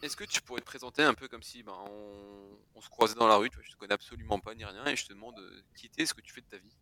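A man's voice: on the low side, fast talker, bright, forceful tone. A man hosting a podcast interview, asking questions casually with loose, restarting phrasing.

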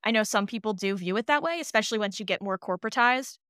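A woman chatting casually as an interview guest, an animated storyteller who slips into acting out imagined dialogue. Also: medium pitch, fast, no echo, clean studio sound. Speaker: podcast producer, interview guest.

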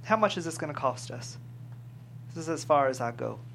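A man's voice: fairly high pitch, animated, brisk, gravelly, a bit bright. A man in a script table read playing a dejected cattleman losing faith, weary and pleading. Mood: dejected, resigned. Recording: noticeable background noise and hum.

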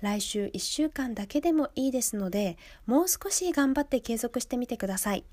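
A woman's voice: a little high, expressive, brisk, darkish tone, no echo. A woman presenting a radio show, addressing listeners in polite, orderly announcer phrasing.

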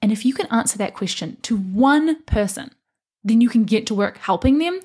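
A woman's voice: on the high side, animated, normal speed, darkish tone, breathy edge. A young adult woman hosting a solo podcast, talking as a life coach in an earnest, encouraging and emphatic way. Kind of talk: solo motivational podcast talk.

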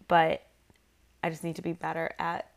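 A woman's voice: low-pitched, completely flat delivery, moderate pace. A young woman co-hosting a podcast in casual, rambling conversation, her voice a little worn after losing it. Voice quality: slightly raspy from lost voice. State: voice worn from shouting and singing. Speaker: podcast co-host.

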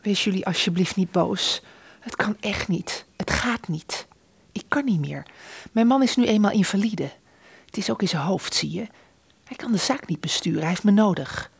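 An actress in a radio play playing a nervous, overwhelmed married woman who pleads and apologizes in a halting, breathy way. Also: mid-range voice, lively intonation, no echo. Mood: distressed pleading. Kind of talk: Dutch radio play acting.

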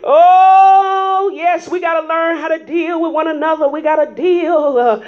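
A woman preaching a sermon in folksy vernacular, rising into shouts and prodding the congregation with repeated calls of "huh?" in a dull lo-fi recording. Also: sits high, fairly even intonation, moderate pace. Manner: emphatic call-and-response preaching with frequent "huh?" prompts.

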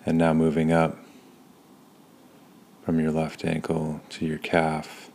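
A man leading a guided meditation in a calm, soothing manner, pausing between short phrases. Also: a bass voice, creaky. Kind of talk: guided body scan meditation.

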